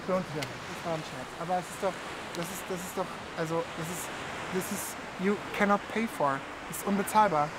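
Drag queen presenter: man, playful, chatty, mid-to-high for a male, noisy outdoor recording. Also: brisk. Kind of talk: casual bilingual on-location chat. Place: outdoors at a beach. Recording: loud hiss and vehicle noise.

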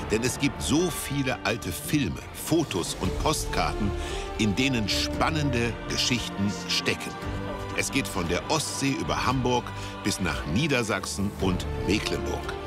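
Deep animated male presenter over loud music. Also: measured pace. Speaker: programme presenter. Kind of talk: scripted TV trailer narration.

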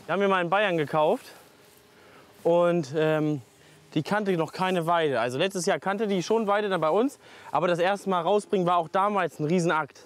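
A man, a dairy farmer, talking in a relaxed way outdoors. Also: high voice, moderate pace. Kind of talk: casual interview.

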